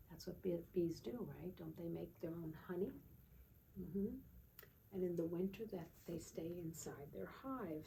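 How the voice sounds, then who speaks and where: A woman teacher leading storytime for young children, gently explaining and often checking in with little questions. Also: mid-range voice, vocal fry. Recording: distant mic with faint background noise.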